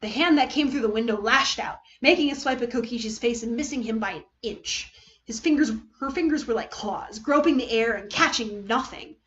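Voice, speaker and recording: High-voiced woman narrating dramatic fiction. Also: a little fast, dull audio. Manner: expressive, theatrical reading. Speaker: streamer reading fan fiction.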